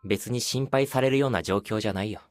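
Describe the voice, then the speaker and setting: A man's voice: lowish voice, racing, slight background noise, natural voice. A male actor playing an aloof, secretly lonely young man in an intimate, casual game voice drama. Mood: coy, intimate. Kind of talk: intimate phone-call game voice acting.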